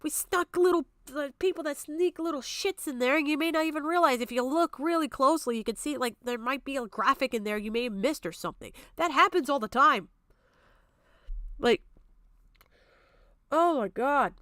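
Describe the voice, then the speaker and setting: A woman's voice: sits high, moderate pace, fairly bright tone, dry recording, clean studio sound. A woman giving off-the-cuff, argumentative stream commentary in a blunt, exasperated, sweary manner. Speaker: streamer reacting to a video.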